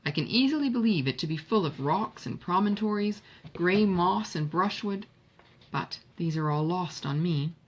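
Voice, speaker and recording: A woman voicing a modest, dry young gentleman in an audiobook of a Regency novel, with formal, measured phrasing. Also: lowish voice, expressive, highs cut off. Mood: dryly self-deprecating. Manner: measured, emphatic listing, formal period diction. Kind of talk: audiobook character dialogue.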